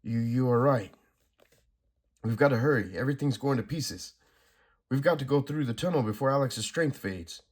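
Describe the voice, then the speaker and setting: A grieving superhero, played by a man, urgent and raw in his pleading. The voice sits at middle pitch, raised in loudness, and is somewhat husky. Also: moderate pace, long pauses, lightly acted. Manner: urgent, emotional delivery.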